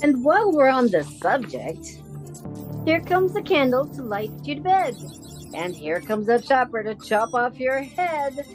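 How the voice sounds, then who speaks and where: A woman's voice: sits high, big pitch swings, measured pace, faint music behind, rough recording. A woman playing a sinister, gloating older landlady in a radio drama, with a taunting sing-song manner. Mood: gloating menace.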